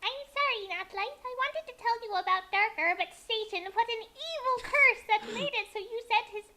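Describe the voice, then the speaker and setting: A reader voicing an apologetic young evil sister from a fan fiction story in a squeaky, pleading manner. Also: moderate pace, pushed and bright, a little hiss or hum, heavily acted.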